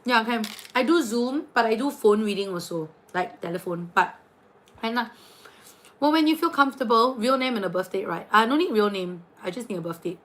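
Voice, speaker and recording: A woman's voice: a little high, fairly quick, low-quality recording. A young woman with a New Zealand accent, chatting casually with viewers during a late-night livestream as a tarot and astrology reader. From New Zealand, raised partly in Singapore. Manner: chatty, animated, reading out viewer names. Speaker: tarot and astrology reader hosting a live stream.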